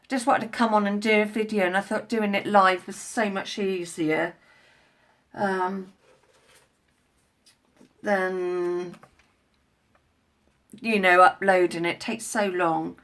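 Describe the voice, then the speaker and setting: A woman's voice: medium pitch, steady tone, slow, pauses often, fairly bright tone. A woman with a head cold, chatting in a rambling way on a live stream from home while crafting, recorded on a tablet. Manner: rambling, chatty, many fillers and restarts. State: congested with a head cold, coughing. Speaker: craft vlogger. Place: at home indoors.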